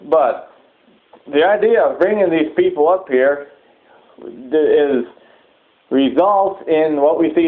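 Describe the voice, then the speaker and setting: A man delivering a sermon-like public address in halting, deliberate phrases, repeating words for emphasis. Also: mid-range voice. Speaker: preacher giving a public address. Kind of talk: sermon-like extremist political address. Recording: muffled, thin phone-like audio.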